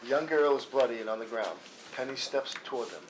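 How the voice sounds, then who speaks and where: A man narrates screenplay directions at a live table read. His pitch is average, and the recording has faint noise with clicks. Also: brisk, rough recording. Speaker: stage-direction reader.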